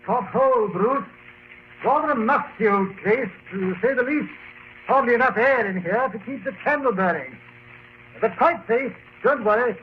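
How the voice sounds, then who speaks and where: An actor playing a confident, scornful aristocrat with breezy diction in an old radio drama. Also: male voice, extremely high pitch, normal speed. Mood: cheerful, calling out. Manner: hearty, breezy, old-fashioned upper-class diction. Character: confident English aristocrat, jovially scornful of ghost legends. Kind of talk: 1950s radio drama acting. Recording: noisy lo-fi old radio recording.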